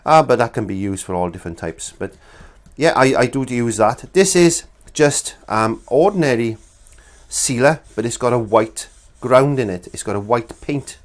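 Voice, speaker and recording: A man's voice: mid-range voice, animated, normal speed. Middle-aged male art instructor, stuffy-nosed from a cold, rambling and friendly, lapel mic in a small studio. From Wales. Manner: chatty, rambling, many restarts and fillers. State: congested from a cold. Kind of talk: casual demonstration lesson.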